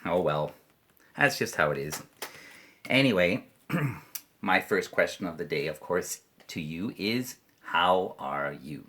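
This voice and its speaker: A Canadian man teaching an online English lesson to children, playful, leading chants slowly and clearly. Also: low-pitched, animated, a bit bright.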